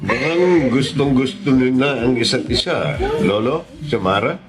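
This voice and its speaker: An actor playing a smooth-talking, flirtatious congressman in a radio drama. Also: medium pitch, animated, normal speed, lightly acted. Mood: sly. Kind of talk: Tagalog radio drama acting.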